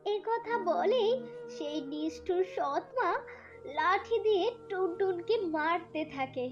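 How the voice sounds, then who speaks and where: High-voiced woman narrating a fairy tale. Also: expressive, slow pace. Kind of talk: scripted storybook narration. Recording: dull lo-fi track with music.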